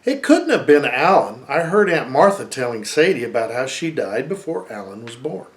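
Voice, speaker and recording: A man's voice: medium pitch, sing-song, moderate pace, echoey room. A man reading a storybook voices a challenging, know-it-all young boy with a pressing, accusing manner. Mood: doubtful.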